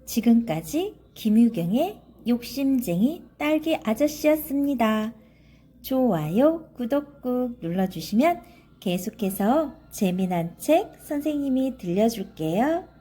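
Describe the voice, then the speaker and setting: A woman's voice: a little high, sing-song, slow, warm tone, slightly rough. A woman narrating a children's story expressively, in a careful, teacherly way.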